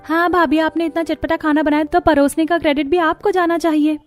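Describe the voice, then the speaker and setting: A woman's voice: high voice, level tone, brisk, voice pushed up, close to an everyday voice. A woman voicing a lazy, cheeky young sister-in-law in a family cartoon. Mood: sly flattering.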